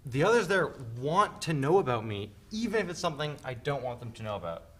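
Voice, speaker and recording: A man's voice: a little high, big pitch swings, a little fast, fairly bright tone. A man playing an awkward, easily embarrassed eighteen-year-old son in a dramatic play reading. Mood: relieved, frank.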